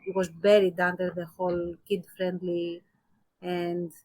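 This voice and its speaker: A woman's voice: on the low side, flat delivery, measured pace, darkish tone, slight background noise, low-quality recording. A woman co-hosting a podcast, giving her opinions in a casual discussion and thinking aloud as she qualifies her points. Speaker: podcast co-host.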